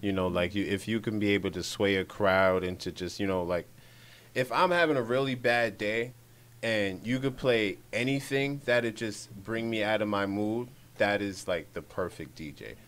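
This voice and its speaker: A man's voice: fairly low pitch, normal speed, a bit bright, slight background noise. A man on a radio panel, talking hesitantly and full of fillers. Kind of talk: casual interview chat.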